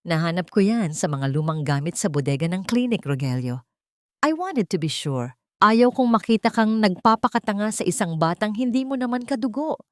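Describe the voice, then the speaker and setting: A woman's voice: mid-range voice, lively intonation, normal speed, a little mellow, no echo, clean studio sound, pitched above the performer's own voice, close to an everyday voice. A woman voicing a cold, insinuating and jealous female rival in an audio melodrama.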